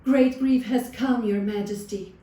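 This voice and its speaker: A woman's voice: a little high, fairly even intonation, measured pace, dark tone, pitched above the performer's own voice, close to an everyday voice. A woman voicing an honest, humble male shepherd, earnest and deferential, in a folktale. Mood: grieving confession. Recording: distant, roomy sound with faint noise.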